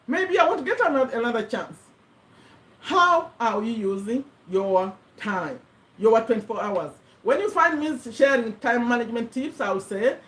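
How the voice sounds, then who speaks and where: A woman in her fifties, originally from Uganda, talks straight to her viewers with a fairly high voice. She rambles earnestly, doubling back to correct herself and repeating words as she thinks aloud. It sounds like an unscripted vlog. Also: poor audio. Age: 50s. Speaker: vlogger. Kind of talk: casual talk to camera. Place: USA.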